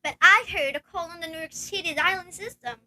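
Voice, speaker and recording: A woman's voice: extremely high pitch, brisk, sharp tone, a little hiss or hum, exaggerated voice, low-quality recording. A woman playing a nervous, demanding invalid wife in an amateur radio play, stammering and insistent. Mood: anxious, insistent.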